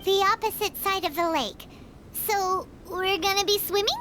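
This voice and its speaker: A woman voicing a small, excitable, childlike fairy companion in a video game, perky and squeaky. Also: normal speed, a little hiss or hum. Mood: questioning, wary. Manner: perky, exclamatory, refers to self by name.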